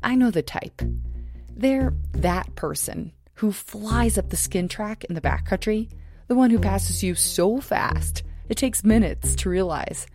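A woman producer giving measured, scripted podcast narration. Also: medium pitch, a little mellow, faint music behind. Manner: measured, descriptive.

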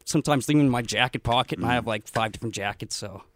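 A man's voice: medium pitch, fast, a bit bright, dry recording. A man chatting casually and telling an everyday anecdote.

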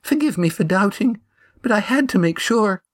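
A man voicing a plump middle-aged widow, earnest and anxious, in a raised, breathy-soft voice. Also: high-pitched, animated, moderate pace, dry recording, clean studio sound, pitched above the performer's own voice.

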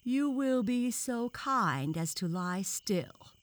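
A woman's voice: on the low side, expressive, slow, a little mellow, voice pushed up, heavily acted. A woman voicing a pompous, severe male frog professor who lectures with scorn in a children's storybook.

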